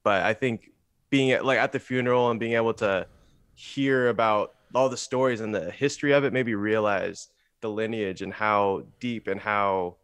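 A young man from the Bay Area, a guest musician talking in a relaxed, rambling podcast chat, peppered with "like" and "you know". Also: fairly low pitch, moderate pace, clear, resonant tone, no echo. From San Francisco Bay Area, USA.

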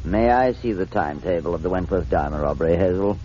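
An actor playing a suave, calmly menacing criminal mastermind in a 1940s radio drama, courteous, deliberate and smug. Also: male voice, sits low. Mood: smug, methodical. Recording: muffled, noisy 1940s radio recording.

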